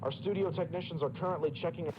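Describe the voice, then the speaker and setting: A man playing an earnest local TV news anchor, delivering a special report in a formal, measured announcer style. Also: fairly high pitch, fairly even intonation, natural voice. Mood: formal, intrigued. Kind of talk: scripted news broadcast delivery. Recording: dull lo-fi TV audio under music. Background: music.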